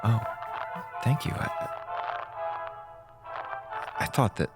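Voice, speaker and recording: A man's voice: normal speed, over loud music, lightly acted. An actor playing a laid-back, wisecracking male communications officer in a space audio drama, talking colloquially and hesitantly. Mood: flustered.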